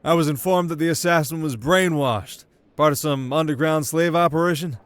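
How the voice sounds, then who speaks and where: A naive, self-important young prince, put on by a man in an audio roleplay. The voice is lifted noticeably high, with formal, pompous, regal declarations. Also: normal speed, fairly bright tone, natural voice. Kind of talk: ASMR audio roleplay acting.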